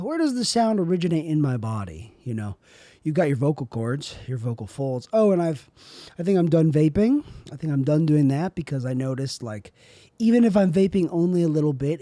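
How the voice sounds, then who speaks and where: A young man with a raspy, airy voice, rambling through a solo podcast monologue as host. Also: mid-range voice, warm tone, no echo. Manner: rambling, self-questioning, trailing off.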